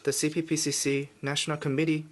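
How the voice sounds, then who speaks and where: A man interpreting official statements live at a press conference, in measured, formal phrases with halting breaks. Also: fairly high pitch, level tone, a little hiss or hum.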